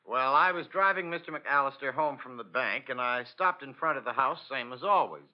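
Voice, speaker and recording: An actor playing a respectful, plain-spoken chauffeur giving a matter-of-fact account in a 1940s radio drama. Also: male voice, mid-range voice, moderate pace, close to an everyday voice. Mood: nervous recounting. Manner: deferential, matter-of-fact recounting. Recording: muffled old radio broadcast.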